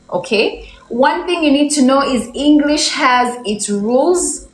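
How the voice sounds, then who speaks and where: A young woman from Uganda teaching English on a livestream. She stretches out her example sentences and keeps asking viewers for the correct answer. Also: a little high. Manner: slow, drawn-out example sentences, repeated questions to viewers. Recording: echoey room, faint background noise.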